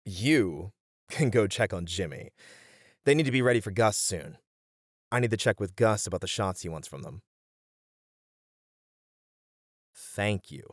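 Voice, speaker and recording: An uptight band manager voiced by a man with a low voice, earnest and a little exasperated. He sounds stressed but caring, speaking hesitantly in an ASMR roleplay. The recording is dry. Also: animated, moderate pace, no room sound. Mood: earnest, relenting.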